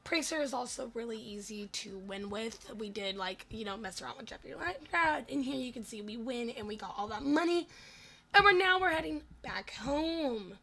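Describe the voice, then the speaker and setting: Woman, raspy cracking voice, fry-heavy, forceful edge, self-deprecating, stumbling casual gameplay commentary. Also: fairly high pitch, animated, moderate pace. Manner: rambling, self-deprecating, stumbles over words. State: hoarse voice. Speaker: gaming video host.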